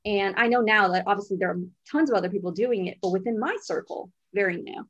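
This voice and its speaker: A woman in her thirties from Brazil, a money coach and podcast guest, telling her story in an animated, chatty way and laughing easily. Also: medium pitch, fast, dry recording. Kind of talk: casual interview storytelling. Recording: dull, slightly lo-fi sound.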